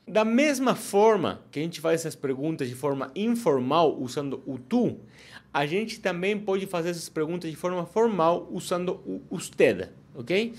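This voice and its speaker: A man teaching Spanish to learners in a relaxed online lesson, explaining step by step and often checking in with "ok?". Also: on the high side.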